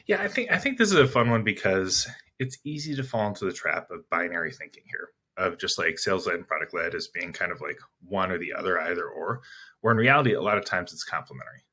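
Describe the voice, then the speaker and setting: A man's voice: low voice, expressive, fast talker, sharp tone, husky. A man speaking as an interview guest, explaining business ideas reflectively in a conversational way, building his points piece by piece. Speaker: interview guest, sales executive.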